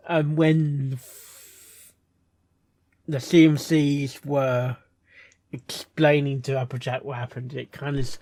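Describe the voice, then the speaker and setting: Male reviewer, unhurried and halting, casual off-the-cuff commentary, slightly high register, close dry sound. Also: no echo. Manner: halting, rambling, repeats words and phrases. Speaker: host reviewing fan fiction readings. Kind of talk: casual reaction commentary, unscripted.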